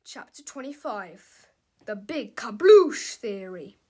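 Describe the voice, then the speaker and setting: A woman giving an expressive read-aloud narration of a children's book. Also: on the high side, takes it slowly. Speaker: storybook reader.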